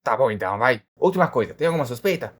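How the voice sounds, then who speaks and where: Man as a fast-talking alligator detective. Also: mid-range voice, sing-song. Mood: probing, exasperated. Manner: casual, muttering, questioning. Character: male alligator detective, ship's guard, blunt and exasperated. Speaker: ship security guard turned detective. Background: faint music.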